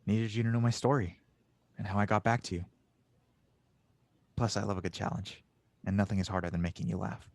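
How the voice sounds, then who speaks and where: A man acts as a teasing, good-hearted inventor in an amateur space audio drama. His voice sits on the low side, with an earnest, playful delivery. The sound is dry, with little room to it. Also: steady tone, fairly quick, gravelly, pauses often, dry recording. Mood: earnest, tender. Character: warm, teasing male inventor, reunited with an old friend. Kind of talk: fan audio drama table read.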